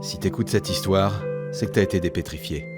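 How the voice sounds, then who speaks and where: A low-voiced, warm-hearted friend played by a man speaks informally, as in a personal message. Soft music is behind. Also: a little fast, lightly acted. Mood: wistful, reassuring.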